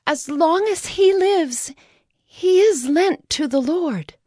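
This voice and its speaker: An actress playing a devout, grateful biblical mother in a dramatized scripture reading, speaking in a solemn, fervent, measured way. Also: very high-pitched, lively intonation, warm tone, dry recording, studio quality, full character voice. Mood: reverent, grateful.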